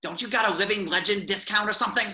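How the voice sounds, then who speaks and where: A man's voice: sits high, fast talker, sharp tone. A man playing a grumpy, sarcastic superhero sidekick in a cartoon table reading, whining and griping in an echoey room.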